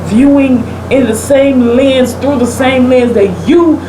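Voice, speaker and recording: High-voiced preacherly woman, echoey room. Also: fairly even intonation, measured pace. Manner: preacherly, emphatic, rhetorical questions. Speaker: talk show host giving opinions. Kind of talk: opinionated commentary in a casual conversation. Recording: roomy echo, clear air-conditioning-like background noise.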